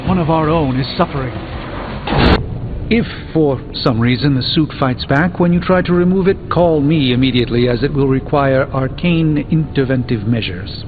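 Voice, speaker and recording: An actor playing a pompous middle-aged sorcerer in a video game, speaking formally and precisely with dry, deadpan delivery. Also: male voice, medium pitch, normal speed, lightly acted. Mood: grave, deadpan. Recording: muffled phone-like audio under loud music.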